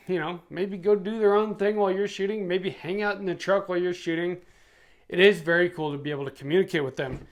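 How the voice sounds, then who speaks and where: A man's voice: fairly high pitch, fairly bright tone. A middle-aged man reviewing gear for his channel in a casual, chatty way. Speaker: product reviewer and YouTube channel host.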